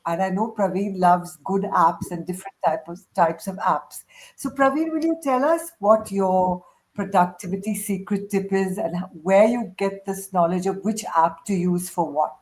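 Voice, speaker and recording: A woman's voice: medium pitch, normal speed. A woman hosting a casual panel chat, talking in a chatty, encouraging way. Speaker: host of a group chat.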